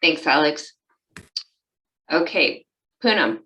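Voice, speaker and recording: A woman's voice: sits low, animated, takes it slowly, bright, forceful tone, rough recording. A woman speaking informally and candidly as a city official chairing a conference call.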